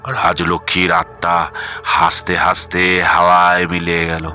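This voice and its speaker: A man narrating a scripted horror story dramatically, measured and suspenseful, with pauses between phrases. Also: deep voice, monotone, bright, forceful tone, faint music behind.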